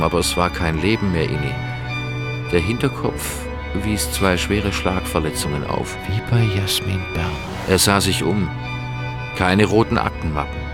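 A man narrating a crime radio drama in a sober, scripted storytelling style. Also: low voice. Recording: music behind the voice. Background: background music.

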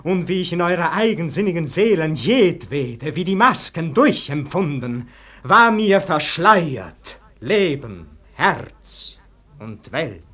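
A man acting a weary, melancholy, proud nobleman in a 1940s German radio verse drama, declaiming in measured, swelling and hushed phrases. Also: fairly high pitch, big pitch swings, full character voice. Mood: bitter, impassioned.

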